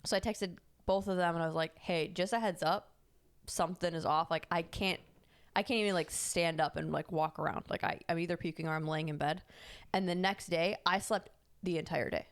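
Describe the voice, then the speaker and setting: A woman's voice: fairly low pitch, steady tone, brisk, smooth. A woman co-hosting a podcast who tells a long rambling story and corrects herself as she goes. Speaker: podcast co-host. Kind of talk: casual chat.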